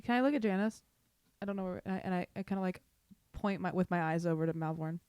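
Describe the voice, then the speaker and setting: A woman's voice: fairly low pitch, quick pace, a little mellow, smooth, no echo. A woman playing a tabletop role-playing game, chatting casually and asking the game master questions.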